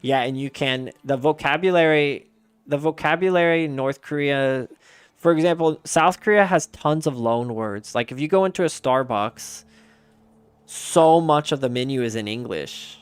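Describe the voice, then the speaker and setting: A man's voice: mid-range voice, moderate pace, clear, resonant tone, no echo. A man streaming a game and chatting casually with his viewers, answering their messages aloud in a rambling way with frequent restarts.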